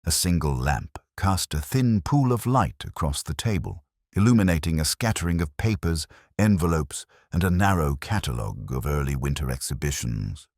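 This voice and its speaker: A man narrating a scripted first-person detective story in a measured, literary, reflective way. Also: extremely low pitch, expressive, dry recording, studio quality.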